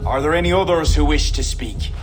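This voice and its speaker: An actor playing a stern, hot-blooded High King in a video game, with a commanding, decisive delivery. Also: brisk, natural voice. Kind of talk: dramatic video game cutscene acting. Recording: prominent music behind the voice.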